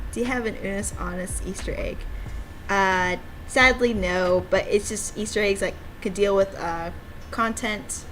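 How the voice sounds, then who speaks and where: A woman's voice: mid-range voice, fairly bright tone, poor audio. Giggly, informal woman with background noise. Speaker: game developer streaming own visual novel. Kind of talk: casual playthrough chat, reading dialogue aloud.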